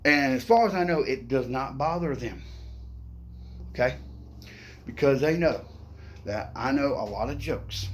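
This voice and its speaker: A middle-aged man who stutters, talking to the camera in a playful, upbeat way and often adding "okay?". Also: mid-range voice. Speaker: vlogger. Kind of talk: casual motivational talk to camera.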